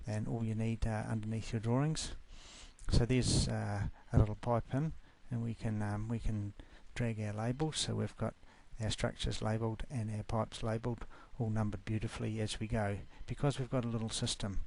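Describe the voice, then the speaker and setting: A man from New Zealand with a New Zealand accent, giving an easygoing, informal screencast walkthrough of a software class. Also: on the low side, level tone, moderate pace, creaky.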